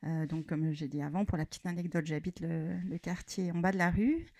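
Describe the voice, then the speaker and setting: A woman, the school's academic secretary, talking in a measured, friendly way in a studio. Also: on the low side, a little mellow. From Belgium. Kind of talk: podcast guest chat.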